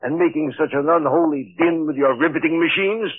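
A man's voice: a little high, lively intonation, brisk, creaky, dry recording. A man playing an old professor in a 1940s radio drama, indignant and formal. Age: older. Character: old male professor, defensive and proud. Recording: muffled old radio recording.

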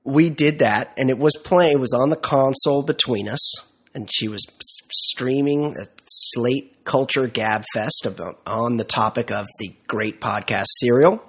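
A podcast co-host, a man with a congested, nasal voice from a cold. His tone has a husky, slightly breathy texture. He teases his friend in dry, exaggeratedly formal language. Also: medium pitch, measured pace, fairly bright tone. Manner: dry mock-formal teasing. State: sick, stuffy and nasal. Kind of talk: casual comedic chat.

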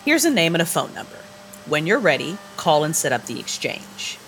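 An actress playing a calm, calculating vampire woman who gives orders in a deliberate, businesslike way in an audio drama. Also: sits low, a little hiss or hum. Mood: businesslike, commanding.

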